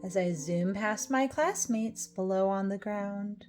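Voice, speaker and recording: Unhurried woman reading a rhyming children's story. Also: medium pitch. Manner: expressive, deliberate phrasing for children. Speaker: storybook reader. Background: music.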